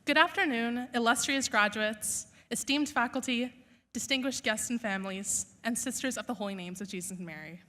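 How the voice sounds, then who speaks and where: A young woman whose first language is Urdu, delivering an earnest, measured graduation speech from a school stage. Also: a little high, bright, forceful tone. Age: young adult. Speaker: valedictorian.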